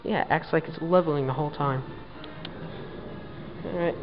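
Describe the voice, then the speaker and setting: A man's voice: on the high side, normal speed, over music. A man filming an elevator ride, giving dry, exasperated running commentary into a handheld camera inside a small elevator car. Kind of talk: casual handheld narration. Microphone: handheld camera mic. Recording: dull lo-fi camera audio, faint hum.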